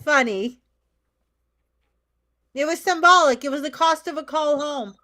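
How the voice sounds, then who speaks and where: Actress playing an anxious mother, very high and bright. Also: unhurried. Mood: nostalgic. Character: anxious mother packing up her college-bound daughter, guilt-ridden. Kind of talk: staged play reading.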